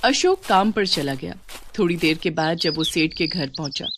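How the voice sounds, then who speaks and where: A woman's voice: sits low, animated, normal speed, background noise. A woman narrating a scripted story as its storyteller.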